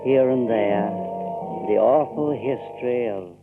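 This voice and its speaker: A man's voice: mid-range voice, takes it slowly, narrow, tinny audio, music in the background. A man narrating a scripted drama in a theatrical storytelling style, full of rhetorical questions and repetitions.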